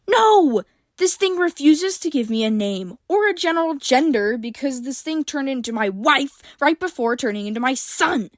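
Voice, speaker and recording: A woman's voice: fairly high pitch, normal speed, dry recording, studio quality, natural voice. A woman voicing a fierce, protective mother who snaps and growls her lines. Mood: angry. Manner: sharp, snapping, loud.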